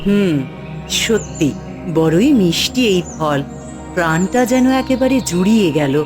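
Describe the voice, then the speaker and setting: A woman playing a gentle, devoted young queen in a fairy-tale cartoon, speaking softly and courteously with theatrical delivery. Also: lowish voice, lively intonation, a little slow, music in the background. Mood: delighted.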